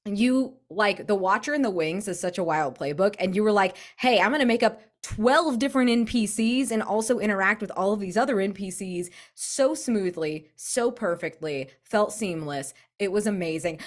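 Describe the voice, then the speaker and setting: A woman's voice: medium pitch, moderate pace, few pauses. A woman in a casual post-game chat, speaking effusively as a tabletop role-playing player. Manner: effusive, gushing praise.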